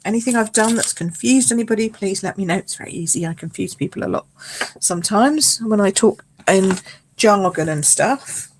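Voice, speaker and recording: A British woman with a croaky voice, hosting a live card-making class from home, chatting and greeting viewers by name as she works. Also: medium pitch, lively intonation, normal speed. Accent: British English. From United Kingdom. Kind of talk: casual live craft demo, chatting with viewers. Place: home craft space.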